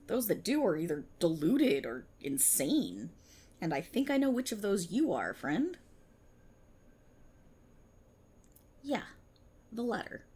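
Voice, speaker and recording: A woman's voice: lowish voice, a little mellow, breathy edge, exaggerated voice. A woman performing an ASMR roleplay as a smug, vindictive multiverse police officer, with a theatrical, sarcastic and mocking delivery. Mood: appalled, scolding, then smug, menacing.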